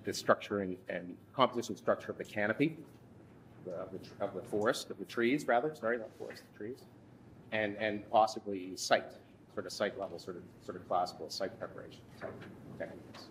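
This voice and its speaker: A man in his thirties leading a workshop from a lectern in a conference room, speaking haltingly with frequent restarts. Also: fairly low pitch, slight background noise, low-quality recording. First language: English. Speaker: workshop instructor. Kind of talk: workshop presentation.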